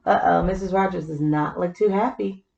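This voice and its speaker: A woman's voice: fairly low pitch, normal speed, gravelly, darkish tone, reverberant, dull audio. A woman reading a children's story aloud, with explanatory asides to young listeners. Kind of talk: scripted storybook narration with simple asides.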